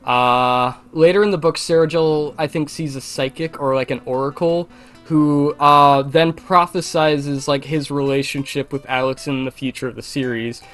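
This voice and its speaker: A man's voice: a little high, steady tone, normal speed, a bit bright, soft background music. A man chatting casually as a conversation partner, agreeable, informal and a little hesitant. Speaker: co-host or guest reacting to the story. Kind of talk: casual chat.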